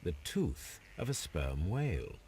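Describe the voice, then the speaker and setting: Victorian English detective, low voice, composed, analytical, video game drama, noisy ambient backdrop. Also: male voice, very animated, darkish tone. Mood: musing, observant. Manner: measured, precise, analytical. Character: keen, composed gentleman detective, male, Victorian London. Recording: clear background noise and ambience.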